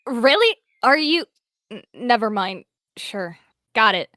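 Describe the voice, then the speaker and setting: A woman voicing a suspicious young tomcat apprentice in a voice roleplay, prying and quick to ask questions. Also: a little high, sing-song, bright, forceful tone, no echo, studio quality, heavily acted.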